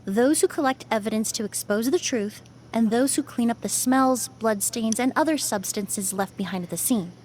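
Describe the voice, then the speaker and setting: An actress plays a thoughtful young woman of science in a video game. The voice is fairly high, calm and precise, measured in phrasing, with some noise behind it. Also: close to an everyday voice. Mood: calm, explanatory. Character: composed, thoughtful young woman perfumer and forensic cleaner.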